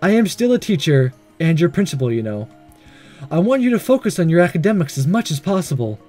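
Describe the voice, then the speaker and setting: A man voicing a gentle, measured woman teacher and principal in a visual novel, kind and careful in manner. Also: on the high side, big pitch swings, soft background music. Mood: kind, serious. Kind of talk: reading visual novel dialogue aloud.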